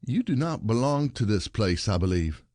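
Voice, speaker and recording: A man's voice: lowish voice, big pitch swings, normal speed, darkish tone, no echo, clean studio sound. A man playing a suspicious, bitter husband in a classic stage comedy, theatrical and probing.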